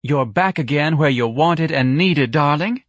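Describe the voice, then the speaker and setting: A man's voice: fairly high pitch, measured pace, fairly bright tone, no echo, studio quality, pitched above the performer's own voice. A man voicing a bossy, gushing middle-aged woman who talks as if soothing a child.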